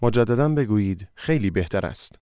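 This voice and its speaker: Brisk, rapid male course narrator. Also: fairly low pitch, lively intonation, dark tone, smooth, no room sound. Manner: brisk, directive prompting. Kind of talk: scripted instruction.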